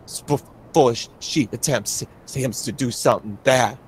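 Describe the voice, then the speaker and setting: A man's voice: medium pitch, slight background noise, natural voice. A man voicing a distressed man who stammers and struggles to get his words out in a tabletop game. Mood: anxious, struggling. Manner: halting, stuttering, struggling to speak. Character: distressed stammering wheelchair-bound man warning a traveller.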